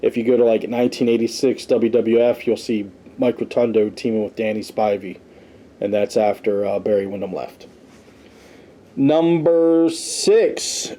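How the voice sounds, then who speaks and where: A man's voice: medium pitch, warm tone. A man hosting a podcast, giving casual conversational commentary as he reads through a list and adds his own asides. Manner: conversational, tossing in personal asides. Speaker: podcast host reviewing wrestling history.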